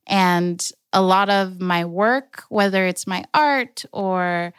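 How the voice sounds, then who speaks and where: A young adult woman from Los Angeles telling her family story in a slow, reflective way, with careful restarts. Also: mid-range voice, clean tone, no room sound, clean studio sound. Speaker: storyteller, artist and organizer. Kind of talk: personal storytelling.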